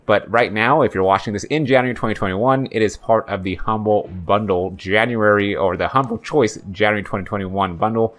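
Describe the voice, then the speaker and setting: Man, fairly deep voice, gameplay video host, casual commentary, informal, rambling. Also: a little fast, few pauses, fairly bright tone.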